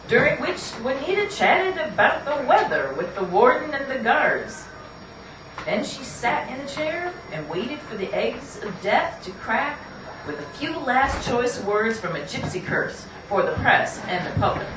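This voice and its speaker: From across an echoey room, a woman hosts a puppet show as its storyteller. Her delivery is folksy and playful, with very lively swings in pitch as she speaks straight to the listeners. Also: a little slow. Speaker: narrator and host of a puppet show. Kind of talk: live theatrical storytelling to an audience. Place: live show in a room, away from the mic. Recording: echoey room from far off, noisy.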